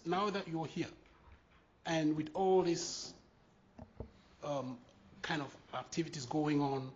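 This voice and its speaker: A man's voice: a little high, darkish tone. Guy at a talk asking from the floor, lots of ums, muffled lo-fi recording, faint hiss. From Cameroon. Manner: halting, many ums and uhs. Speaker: audience member asking a question. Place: meeting room at a public talk.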